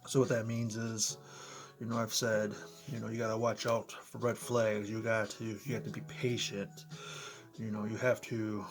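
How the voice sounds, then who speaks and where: Slightly hoarse man chatting to camera. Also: fairly low pitch, level tone, normal speed, faint music behind. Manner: conversational, talks straight to viewers, frequent "you know" and "right?". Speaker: motivational vlogger. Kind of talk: casual explainer vlog. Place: his apartment, indoors. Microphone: close to camera, in front of computer.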